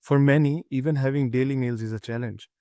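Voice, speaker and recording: A young man from Pakistan, a student, reading a prepared reflection in a steady, earnest way with small restarts. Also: mid-range voice, fairly quick, dry recording, studio quality.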